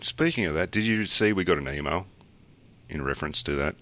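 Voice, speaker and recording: Casting note: Australian man, very low and bright, laid-back podcast co-host. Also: animated, a little fast. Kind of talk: casual chat.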